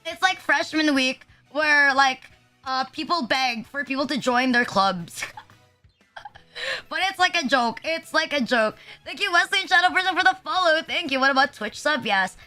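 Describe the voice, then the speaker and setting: A high, bright-toned young woman chats loosely on a livestream, her nose a little stuffed and sniffly. She rambles and jokes at her own expense, dropping swears along the way. Soft music plays faintly behind her. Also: lively intonation, moderate pace. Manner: rambling, casually profane, self-deprecating. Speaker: VTuber streamer. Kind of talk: casual livestream chat.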